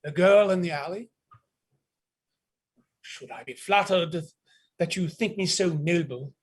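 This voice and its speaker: A man's voice: high-pitched, a little slow. A man playing a coldly courteous, menacing military captain in a stage play reading, pompous and mock-polite.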